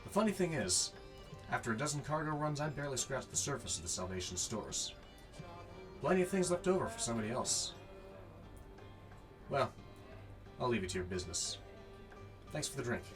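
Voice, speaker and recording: A man's voice: medium pitch, very animated, fairly quick, long pauses, over music, lightly acted. A man playing a weary, wry desert courier who narrates his own adventure in an audio drama. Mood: wry, reflective. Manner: wry, self-talking. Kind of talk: first-person narration and amateur audio drama acting.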